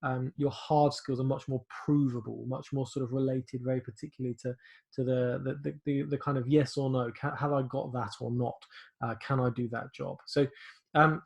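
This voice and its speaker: A man leads an online class as the teacher. He talks loudly and hesitantly, stopping and restarting often and padding his sentences with fillers. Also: medium pitch, steady tone, normal speed, gravelly. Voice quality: loud voice.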